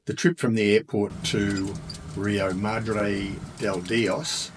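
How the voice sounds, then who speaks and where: A man with an Australian accent talking casually about a trip, relaxed and chatty with many ums and uhs. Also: fairly low pitch, slow pace, background noise. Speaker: travel vlogger. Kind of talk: casual travel narration.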